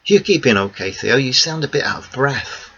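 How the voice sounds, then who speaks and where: A man's voice: mid-range voice, very animated, moderate pace, bright, forceful tone, husky, exaggerated voice. Man doing a caring determined young woman, firm, earnest, dull recording.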